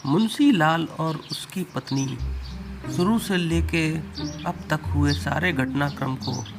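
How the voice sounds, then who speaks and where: A male storyteller reads a scripted cartoon tale. His voice is fairly high and his pace slow. Music plays clearly under the narration.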